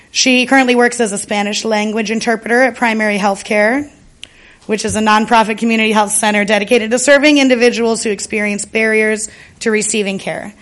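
A woman hosting a library event, welcoming the audience and introducing the speaker in a clear, measured way from prepared remarks. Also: on the high side. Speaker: event host, shop director.

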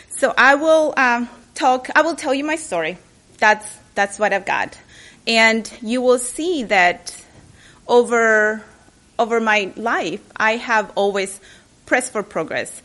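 A woman's voice: a little high, fairly bright tone. A woman gives a personal keynote speech at a library event, chatty and openly nervous, often restarting her sentences. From Chile. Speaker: keynote speaker.

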